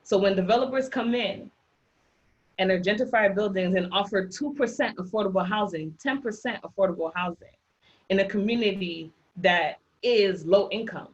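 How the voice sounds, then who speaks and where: A woman's voice: mid-range voice, normal speed. Haitian-accented woman giving a housing rights workshop, hammers her points home, muffled call audio. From Haitian background. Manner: emphatic, repeats key points. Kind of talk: presenting slides in a workshop.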